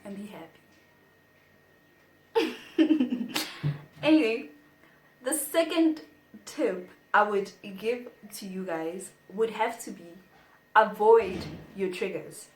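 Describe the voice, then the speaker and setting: Brief: young woman, slow and playful vlog delivery, echoey room. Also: mid-range voice, slight background noise. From South Africa. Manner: chatty and playful, reads from notes. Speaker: vlogger. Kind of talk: casual advice vlog.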